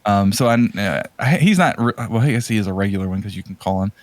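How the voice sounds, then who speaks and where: Halting, lower-voiced man chatting casually. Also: animated, no echo. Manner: halting, rambling delivery. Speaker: podcast co-host. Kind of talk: casual chat about video games.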